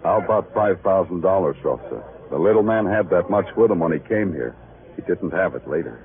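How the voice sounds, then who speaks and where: An American actor playing a dry, world-weary, sardonic police detective in clipped vintage radio noir style. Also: male voice, low voice, expressive, a little fast. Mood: probing. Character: hard-boiled, sardonic male New York police detective. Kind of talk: 1950s radio noir dialogue and narration. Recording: tinny vintage radio recording, music behind.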